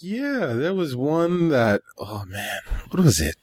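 Slow-talking man with lively pitch swings. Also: breathy edge. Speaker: podcast panelist. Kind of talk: casual chat.

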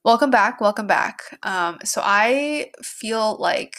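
A woman's voice: medium pitch, animated, takes it slowly, fairly bright tone. Chatty congested young woman host. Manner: chatty, heavy use of "like", many restarts. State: congested, stuffy nose. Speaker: podcast host. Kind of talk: casual podcast chat.

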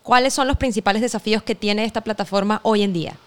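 A woman's voice: medium pitch, fast talker, smooth. A woman presenting a podcast in a conversational way as its host.

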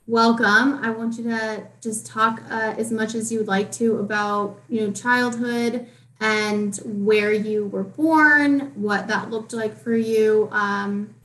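A woman's voice: fairly high pitch, steady tone, takes it slowly, fairly bright tone. A woman hosting a casual podcast, friendly and heavy on fillers like "um". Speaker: podcast host. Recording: echoey room, far from the mic.

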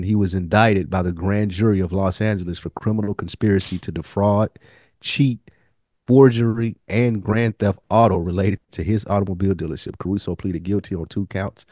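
A man's voice: deep voice, fairly even intonation, fairly quick, a little mellow, dry recording, studio quality. A man co-hosting a podcast, discussing things casually and sharing researched facts. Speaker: podcast co-host.

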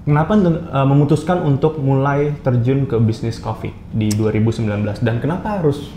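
A man with an Indonesian accent hosting a casual interview, chatty and quick to laugh. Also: medium pitch, mellow, reverberant, slight background noise. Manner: chatty, lots of fillers, laughs often. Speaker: interview host, company CEO.

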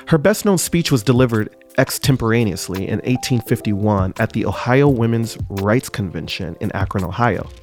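A man hosting a podcast, reading a scripted history segment in a measured way. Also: on the low side, expressive, a little mellow, soft background music. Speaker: podcast host.

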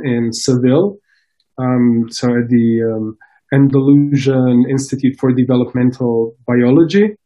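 A middle-aged man from Croatia, a scientist guest in a casual interview, talking haltingly with frequent restarts and fillers. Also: mid-range voice, dark tone, muffled recording. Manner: hesitant, many restarts and fillers.

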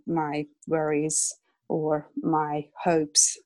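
A Hungarian-born woman in her thirties is talking in English as a guest on a podcast. Her voice sits on the low side and is recorded dry and close. She talks with a lot of hesitant fillers and small laughs. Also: monotone, drawn-out words, warm tone, no room sound. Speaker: illustrator guest. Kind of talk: casual interview chat.

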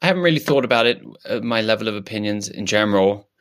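A man's voice: on the low side, quick pace, smooth, natural voice. A man improvising a shy male politician who has no opinions, talking in hesitant, stammering restarts. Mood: evasive. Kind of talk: improvised comedy character.

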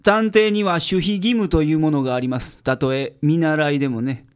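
Casting: wry Kansai private eye, acted by a man, a bit high in pitch, grumbling and blunt, radio drama. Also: fairly even intonation, moderate pace, smooth, lightly acted. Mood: businesslike. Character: gruff, sardonic male private detective. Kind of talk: audio drama acting.

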